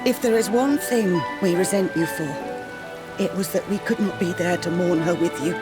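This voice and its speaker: An actress playing a middle-aged grieving mother in a video game, tender and gently scolding, with measured, heartfelt phrasing. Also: fairly low pitch, natural voice. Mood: sorrowful. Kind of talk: dramatic video game voice acting. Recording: rain and music behind. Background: rain, music.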